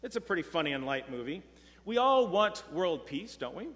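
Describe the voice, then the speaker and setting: A middle-aged man preaching a sermon from a pulpit, earnest and measured, slowing down when reading scripture. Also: fairly high pitch, very animated, a bit bright. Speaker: pastor. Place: church sanctuary, speaking from a pulpit. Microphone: pulpit mic, far camera. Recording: long church reverb.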